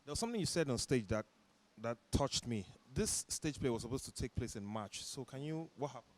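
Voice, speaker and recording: A man's voice: mid-range voice, expressive, fairly quick. A man asking conversational questions as an interviewer. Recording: faint background ambience noise.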